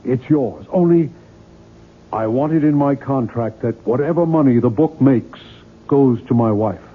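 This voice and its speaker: An actor playing an irritable, guilt-ridden novelist with a curt, weary, grumbling manner in a 1970s radio drama. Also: male voice, medium pitch, measured pace, husky. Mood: eager, earnest. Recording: muffled phone-like vintage radio recording.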